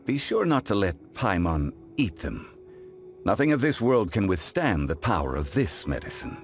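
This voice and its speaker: A male actor playing a calm, dignified, ancient immortal man in a video game, speaking in a measured, formal, refined way. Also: on the low side, faint music behind. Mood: grave. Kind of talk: scripted video game character voice lines.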